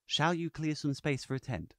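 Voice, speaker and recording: Courteous male half-elf adventurer for fantasy audio drama , acted by a man: formal phrasing, very lively intonation. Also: a little high, dry recording, studio quality, close to an everyday voice. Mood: resigned. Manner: formal, measured wording.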